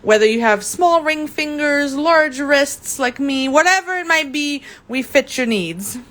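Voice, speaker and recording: A woman's voice: high-pitched, expressive, measured pace, fairly bright tone. Chatty young woman hosting a live craft demo. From Germany. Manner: chatty, greets viewers by name, often stumbles on words. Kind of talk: casual live demo chat. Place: indoor craft table.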